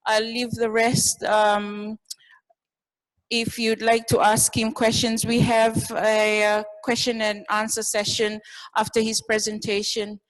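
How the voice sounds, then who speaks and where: A woman's voice: a little high, completely flat delivery, takes it slowly, in a large hall, low-quality recording. A woman, a communications officer, giving a brief formal introduction of a guest speaker with some hesitant fillers. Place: campus room.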